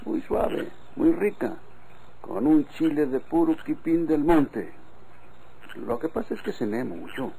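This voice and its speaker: An actor playing an easygoing, trusting country husband in a Mexican radio drama, with a creaky voice, colloquial manner and Mexican accent. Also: male voice, a little high, big pitch swings, takes it slowly, dark tone, heavily acted, low-quality recording. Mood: contented, sated. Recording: old radio recording, faint noise.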